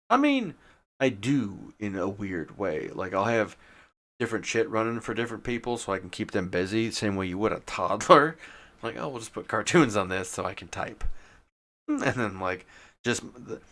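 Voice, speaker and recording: A man's voice: lowish voice, fairly bright tone. A man from Detroit rambling casually on a stream, profane and irreverent, often laughing at his own jokes. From Detroit, USA.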